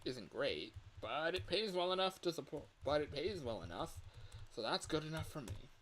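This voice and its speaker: A man's voice: fairly high pitch, sing-song, normal speed, fairly bright tone, voice pushed up, rough recording. A man voicing a chatty, resigned family-man coworker who is training a newcomer in a video game. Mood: upbeat, explaining. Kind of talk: rehearsed game voice lines. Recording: clear background hiss.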